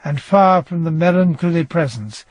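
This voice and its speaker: A man's voice: fairly high pitch, expressive, moderate pace, dry recording. A man reading scripted true-crime narration.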